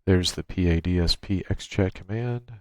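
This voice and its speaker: A man presenting an informal software tutorial, explaining things step by step into a USB microphone. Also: low voice, moderate pace, no echo, clean studio sound. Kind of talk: informal software screencast walkthrough. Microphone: USB microphone through a noise gate.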